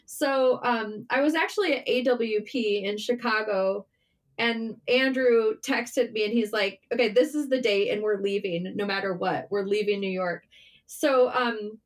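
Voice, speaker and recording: A middle-aged American woman chatting informally as an interview guest, telling personal stories with emphatic repetition. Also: fairly high pitch. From Michigan, United States. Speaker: podcast guest, writer. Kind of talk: conversational interview storytelling.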